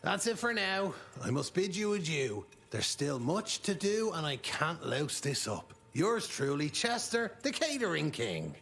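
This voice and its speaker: An actor playing a pompous, scheming, boastful vampire upstart in a video game, with a florid, smug, self-important delivery. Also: male voice, fairly high pitch, big pitch swings, measured pace, creaky, few pauses, a bit bright, exaggerated voice. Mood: self-important, brisk. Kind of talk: video game monologue acting.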